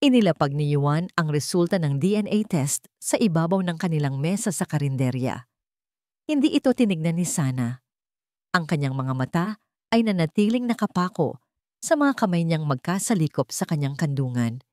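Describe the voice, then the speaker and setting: A Filipino woman reading a scripted romance story in a measured, emotive storytelling manner. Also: sits low, lively intonation, a little mellow, no echo, studio quality. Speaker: story narrator.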